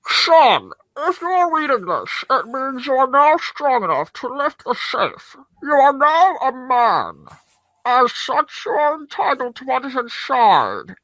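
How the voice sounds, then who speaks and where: A masked villain solemnly reads a proud father's letter in a theatrical radio-play manner. The voice sits high and swings widely, delivered slowly and grandly in a dry, close recording. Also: huge pitch swings, pushed and bright, no room sound, heavily acted, low-quality recording. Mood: grandiose, solemn.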